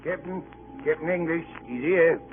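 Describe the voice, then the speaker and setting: An actor playing a wry, deferential ship's officer with a sardonic way of talking, in a 1930s radio drama. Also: male voice, on the high side, normal speed, close to an everyday voice. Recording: tinny, band-limited vintage radio with music.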